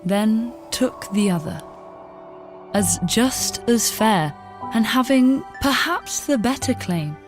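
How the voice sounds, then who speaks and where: A woman reciting a poem with measured, deliberate phrasing. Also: a little high, expressive. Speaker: poetry reader. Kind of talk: poetry recitation. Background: music.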